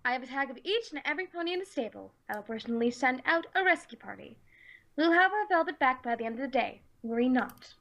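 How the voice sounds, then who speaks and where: A woman playing a stern, calm female pony leader who speaks in a measured, authoritative way in a dramatic story reading. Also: high-pitched, creaky, exaggerated voice. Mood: commanding, reassuring. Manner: measured, authoritative, slow and deliberate.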